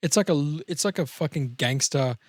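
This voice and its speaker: A man's voice: a little high, brisk, no room sound. Casual, sweary man on a podcast. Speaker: podcast co-host. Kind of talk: casual chat.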